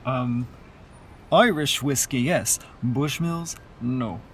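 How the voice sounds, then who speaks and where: A man's voice: medium pitch, takes it slowly, slight background noise, close to an everyday voice. A man playing a wry, stubborn mourner. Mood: sardonic, confiding. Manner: dry, teasing, sardonic. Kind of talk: radio play dialogue.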